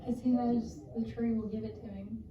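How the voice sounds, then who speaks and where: A woman's voice: fairly high pitch, flat delivery, fairly quick, mellow. A woman answering questions informally as a student in a classroom. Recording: muffled, noisy, roomy, distant mic.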